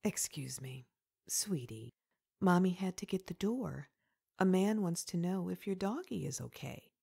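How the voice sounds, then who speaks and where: Young mom, played by a woman: friendly, chatty, slightly low voice, natural comic dub read. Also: expressive, measured pace, gravelly, a little mellow, breathy edge, heavily acted. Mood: gentle. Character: friendly, chatty young mother at her door.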